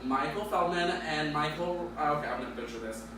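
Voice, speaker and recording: A bubbly, giggly male host with a slightly raised voice, chatting with guests. He is picked up from a distance in a big echoey space, with steady noise underneath. He stumbles over names and laughs easily. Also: on the high side, brisk. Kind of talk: casual interview chat. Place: large echoey space by a pool.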